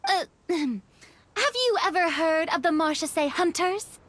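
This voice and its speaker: A woman playing a girlish, theatrical young female adventurer in an anime-style video game, with a dainty, expressive delivery. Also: very high voice, slow, no echo, heavily acted. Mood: hesitant.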